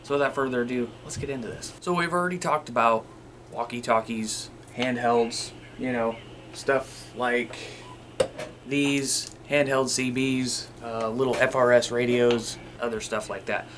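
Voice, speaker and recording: A man's voice: mid-range voice, steady tone, a little slow. A man with a mid-range voice hosts an informal how-to video. He explains gear conversationally, addressing viewers directly. The recording carries faint background noise. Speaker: video host. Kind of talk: casual instructional talk, demonstrating radio gear.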